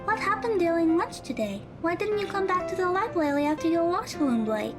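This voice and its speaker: A woman plays a kind, polite teenage schoolgirl in an audio drama. Her voice is extremely high and girlish, and she speaks gently and earnestly. Music plays clearly behind her. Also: sing-song, a little fast. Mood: concerned, apologetic.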